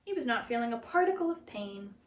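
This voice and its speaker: A woman narrating a children's story as a reader, in an expressive storytelling style. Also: on the high side, brisk. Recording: echoey room, faint background noise.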